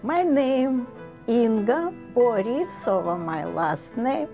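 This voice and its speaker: Old russian-born woman, slow and halting, mellow fairly high voice. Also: expressive, soft background music. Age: 80s. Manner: halting, uses non-native grammar. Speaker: Holocaust survivor, interviewee. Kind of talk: testimony interview.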